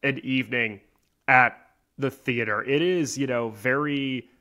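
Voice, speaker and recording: A man's voice: medium pitch, measured pace, pushed and bright. A man co-hosting a podcast, talking casually and analytically, sometimes in emphatic short phrases. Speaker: podcast co-host.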